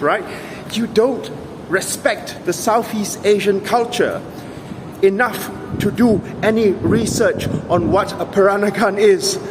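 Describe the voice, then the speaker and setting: A man with a high voice delivers an emphatic, sarcastic rant straight to his audience. He argues his point without letting up. Traffic noise from the street around him is clearly heard under his voice. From Singapore. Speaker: vlogger. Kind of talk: opinionated monologue to camera.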